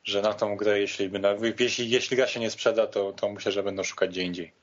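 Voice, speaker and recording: A man's voice: lowish voice, little pitch movement, a little fast. A man chatting casually, contrarian and stumbling over repeated words. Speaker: podcast panelist. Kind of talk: casual chat. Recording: dull lo-fi voice recording.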